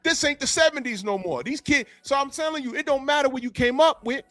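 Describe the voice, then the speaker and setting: A man with a notably high voice who hosts a podcast, talking fast and loose with plenty of swearing. He acts out little quotes as he goes. The sound is dry and close, with faint music underneath. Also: dry recording. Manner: animated, profane, storytelling with put-on quotes. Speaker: podcast host. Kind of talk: casual podcast chat.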